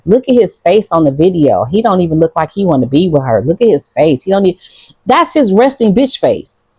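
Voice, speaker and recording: A woman's voice: lowish voice, big pitch swings, fairly quick, darkish tone, slightly rough, no room sound. A woman from Detroit with a Detroit accent, talking casually and bluntly to her viewers, defiant and profane. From Detroit, United States. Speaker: vlogger. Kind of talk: casual talk to camera. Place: outdoors in Ghana.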